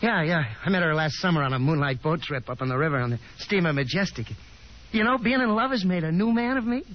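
Animated, awkward young American man played by an actor. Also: fairly high pitch, quick pace, slight background noise, close to an everyday voice. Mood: earnest, awkward. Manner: stammering, flustered, eager to please. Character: affable, awkward young working man, earnest gentleman caller. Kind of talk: 1950s radio drama acting. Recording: muffled 1950s radio recording, music behind.

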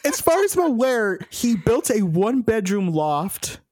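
A man co-hosting a film podcast, joking and animated, laughing often. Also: high-pitched, a little slow, crowd noise. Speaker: podcast co-host. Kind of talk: casual chat.

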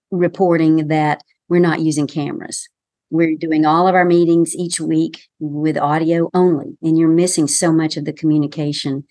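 A woman with a softened Southern American accent, a communication consultant giving measured, explanatory advice in an interview. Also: low-pitched, dry recording. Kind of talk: professional podcast interview.

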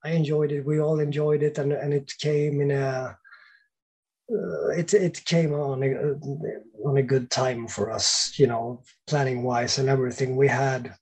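A man who sings in a band, talking as a podcast guest in a halting, thoughtful way, often restarting his sentences. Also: medium pitch, steady tone, a little mellow, breathy edge. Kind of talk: casual interview chat.

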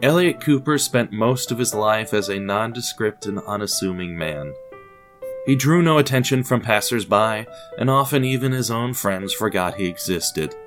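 Male narrator for radio drama, lower register, measured and theatrical, deliberate pauses, light music bed. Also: lively intonation. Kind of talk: scripted dramatic story narration.

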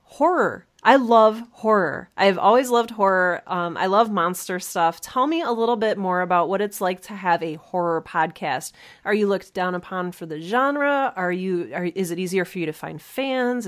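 A woman chats as the host of a podcast interview. She is expressive and enthusiastic, with an informal style and a medium-pitched voice. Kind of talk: casual chat, interviewing.

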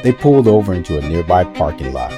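Male storyteller over clear music. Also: sits low, big pitch swings, quick pace. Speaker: narrator. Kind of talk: storytelling narration for an audio drama. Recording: music bed behind narration.

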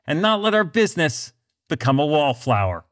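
A man hosting a business podcast, reading scripted narration in a measured, deliberate way. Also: on the high side, very animated, a bit bright. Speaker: podcast host.

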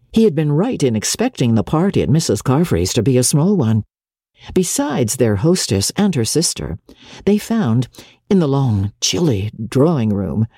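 A woman narrates literary fiction in a mellow, husky voice. Her storytelling is measured and expressive, with animated swings of pitch that give the prose a dramatic shape. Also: no room sound, studio quality. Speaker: audiobook reader.

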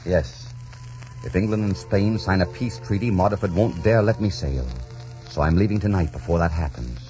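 An actor playing a bold, romantic adventurer captain in a theatrical 1940s radio drama style. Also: male voice, low-pitched, moderate pace, mellow, close to an everyday voice. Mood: ardent, romantic. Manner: theatrical, ardent, declamatory. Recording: dull 1940s radio recording, music behind.

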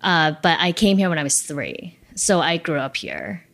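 A woman's voice: sits low, sing-song, moderate pace, a bit bright. A young adult woman, born in China and raised in the United States, answering interview questions about her writing in a casual, reflective way with many fillers. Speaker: debut novelist, guest.

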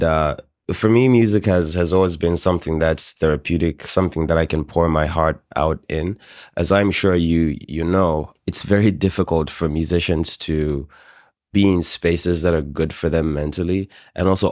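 A man's voice: sits low, a little mellow, no echo, clean studio sound. A man from Zambia, a native Bemba speaker, chatting casually and candidly as a singer-songwriter being interviewed.

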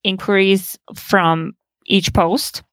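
A woman's voice: mid-range voice, fairly even intonation, extremely slow, fairly bright tone, no room sound. A woman from Bosnia and Montenegro, a podcast guest who talks casually about her design business and laughs often. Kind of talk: casual conversation.

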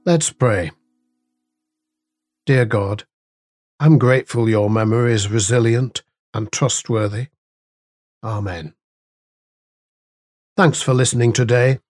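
A man reads a scripted devotional at a slow, deliberate pace, leaving pauses between phrases. His voice sits at a middling male pitch. The recording is dry and close, with music underneath. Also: darkish tone, no room sound. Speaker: devotional reader and host.

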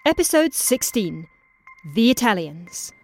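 A woman's voice: fairly high pitch, sing-song, unhurried, a little hiss or hum, poor audio. A woman reading scripted title credits for an audio drama. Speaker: credits announcer.

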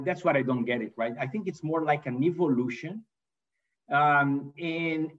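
A man's voice: a little high, far from the mic, dull audio. A man in his fifties from Brazil with a Brazilian Portuguese accent, a non-native English speaker and manufacturing executive, answering interview questions hesitantly with many fillers and restarts.

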